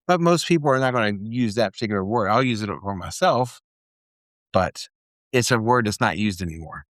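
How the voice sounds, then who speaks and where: A man's voice: lowish voice, lively intonation, fairly quick, fairly bright tone, no room sound, studio quality. A man co-hosting a podcast, talking casually and at length, rambling a little and joking about himself. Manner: chatty, rambling, self-deprecating. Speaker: podcast co-host.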